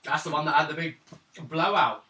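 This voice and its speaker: A man's voice: on the high side, moderate pace, bright, forceful tone. A man improvising narration and dialogue as a tabletop game master. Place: room around a gaming table. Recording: roomy, distant mic, faint noise.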